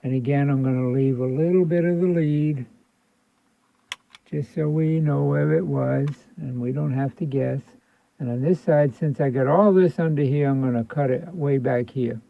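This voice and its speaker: A man's voice: mid-range voice, steady tone, normal speed, vocal fry, a little hiss or hum. A man giving a casual hands-on tutorial, thinking aloud and repeating his points as he explains. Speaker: electronics repair instructor. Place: indoor electronics workbench. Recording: thin phone-like band-limited sound.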